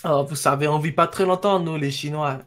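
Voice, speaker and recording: A man's voice: on the high side, steady tone, fairly quick, lightly acted. A man playing a poor middle-aged immigrant woodsman in a roleplay game, blunt, haggling and cheeky. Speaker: roleplay player. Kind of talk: in-character roleplay.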